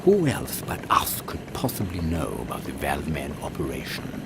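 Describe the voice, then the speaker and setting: A man's voice: a bass voice, very animated, moderate pace, exaggerated voice. A man playing an earnest, dutiful and easily astonished captain in a steampunk audio comedy, speaking formally. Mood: suspicious, formal. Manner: formal, blustering, exclamatory. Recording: loud airship engine noise behind.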